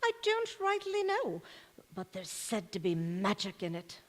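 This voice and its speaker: A woman's voice: mid-range voice, huge pitch swings, a little slow. A woman acting an old maidservant, grave and devoted, in an old-time radio drama on a stage. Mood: solemn, mysterious. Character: old Scottish maidservant and former nanny, dour and devoted. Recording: echoey church hall stage recording.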